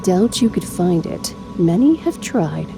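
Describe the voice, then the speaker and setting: A woman playing a sardonic, teasing droid with dark humor in a sci-fi audio drama, dry and mocking. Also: lowish voice, big pitch swings, unhurried, vocal fry, over music, exaggerated voice, low-quality recording. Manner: dry, mocking, leisurely menace.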